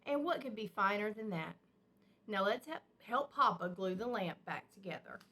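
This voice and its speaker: A woman voicing a patient, gently firm mother bear in a children's storybook, speaking earnestly. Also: medium pitch, animated, normal speed. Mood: reassuring.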